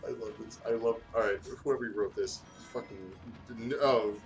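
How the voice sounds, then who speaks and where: A man's voice: fairly low pitch, fairly even intonation, moderate pace, poor audio. A man doing a casual read-aloud of fan fiction, stumbling over words and breaking off to comment. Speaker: gaming video host reading fan fiction aloud. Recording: music playing behind the voice. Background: music.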